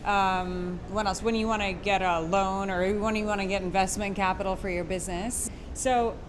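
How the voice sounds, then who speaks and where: A woman's voice: mid-range voice, steady tone, normal speed, background noise. A woman from Quebec whose first language is French, hosting a course in a chatty, informal way with frequent fillers. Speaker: course host. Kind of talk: conversational instructional chat.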